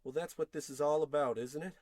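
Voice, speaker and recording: A man's voice: on the high side, fairly even intonation, brisk, a little mellow, dry recording, studio quality, natural voice. A man playing a frustrated, self-pitying unemployed husband in an old-time radio comedy, wordy, sarcastic and theatrical. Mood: bitter, dejected. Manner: wordy, indignant, sarcastic rambling.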